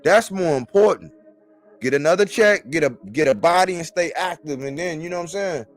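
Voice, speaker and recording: Man, forties, slightly high voice, colloquial sports-stream commentator, music underneath. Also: a little fast. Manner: conversational, colloquial, repeats phrases for emphasis. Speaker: boxing commentator on a live stream. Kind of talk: casual sports chat.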